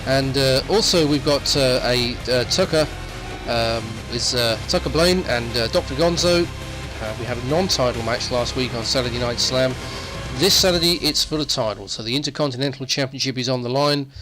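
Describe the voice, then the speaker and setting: Male wrestling newsreel host, mid-pitched, informal and unscripted, hesitant and rambling delivery with repeats, clear music underneath. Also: moderate pace, rough recording. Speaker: wrestling news host and commentator. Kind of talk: informal unscripted news roundup. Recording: music playing behind the voice.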